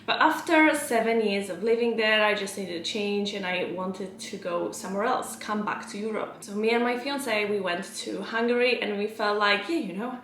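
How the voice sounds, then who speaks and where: A woman's voice: medium pitch, normal speed, few pauses, fairly bright tone. A young adult woman from Poland with a Polish accent, talking casually to her viewers as a vlogger and coach. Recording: roomy, echoing indoor sound.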